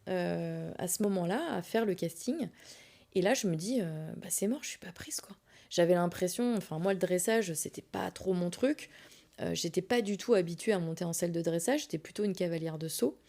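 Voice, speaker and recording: A woman hosting a podcast, telling a personal story in a casual, colloquial, animated and self-deprecating way. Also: fairly low pitch, gravelly. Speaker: podcast host.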